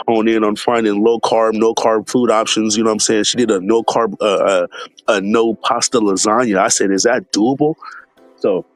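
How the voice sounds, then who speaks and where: A man hosting a casual live stream, talking in a relaxed, slangy way and sounding a bit stuffed up from allergies. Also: on the low side, no echo, rough recording. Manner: laid-back, slangy, many fillers, greets chat viewers by name.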